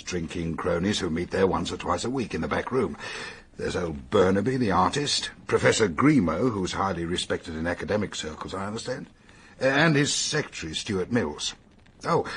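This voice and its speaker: Deep, husky investigator. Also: male voice, heavily acted. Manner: conversational, probing. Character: probing, matter-of-fact male investigator recounting a case. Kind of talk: radio mystery drama acting.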